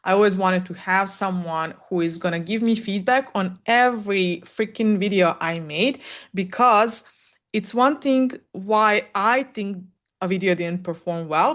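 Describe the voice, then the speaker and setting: Woman coaching, thinking aloud. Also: medium pitch. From Serbia. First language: Serbian. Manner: candid, thinks aloud with frequent fillers. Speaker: animation content coach. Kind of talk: solo Q&A advice talk.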